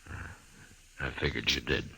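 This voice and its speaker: A stoic, weary American lawman in a radio western. The voice sits extremely low and gravelly, with a husky edge. He speaks haltingly and with understatement, as a plain man of conscience. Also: steady tone, bright, forceful tone, full character voice, low-quality recording. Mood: subdued, sincere. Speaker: radio drama lead actor. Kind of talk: old-time radio western acting.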